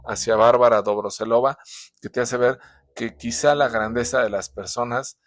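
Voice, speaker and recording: A man's voice: mid-range voice, steady tone, moderate pace, no echo, clean studio sound. A man with a sore throat, hosting a casual book review, talking with many fillers and restarts. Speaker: book reviewer, show host.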